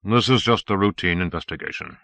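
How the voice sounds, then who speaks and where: Stern, forceful police detective voiced by a man. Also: low voice, fairly quick, vocal fry, no room sound, full character voice. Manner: harsh, probing, formal. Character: stern, suspicious male police detective sergeant. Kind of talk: audiobook character acting.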